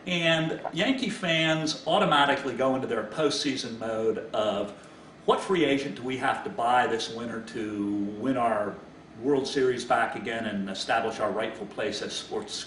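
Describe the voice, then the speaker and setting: A man's voice: medium pitch, fairly bright tone. Middle-aged man, roomy distant sports commentary. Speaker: sports analyst. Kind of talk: informal sports commentary to camera. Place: small indoor home office. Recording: roomy, distant mic, background hiss.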